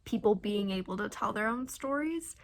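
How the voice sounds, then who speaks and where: A woman chatting casually about books in a vlog, halting as she thinks aloud. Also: medium pitch, lively intonation. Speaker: book reviewer and vlogger.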